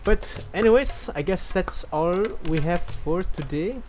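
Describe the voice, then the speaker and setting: A man's voice: high voice, lively intonation, measured pace, a little mellow. A man from Malaysia livestreaming a game, casually commenting and reading dialogue aloud in a laid-back, rambling way. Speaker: game streamer. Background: game music.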